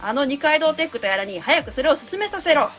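Woman acting an overbearing, high-voiced academic. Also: sing-song, fairly quick, fairly bright tone, faint music behind. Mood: impatient, commanding. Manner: haughty, commanding. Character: overbearing, scornful academic adviser barking orders. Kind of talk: comic radio drama acting.

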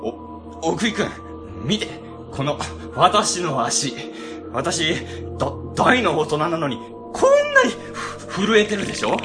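A man playing a cowardly, dramatic middle-aged section chief in a comedic radio drama, stammering and excitable. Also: on the high side, takes it slowly, music in the background, heavily acted. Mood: frightened. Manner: stammering, excitable, exaggerated frightened delivery.

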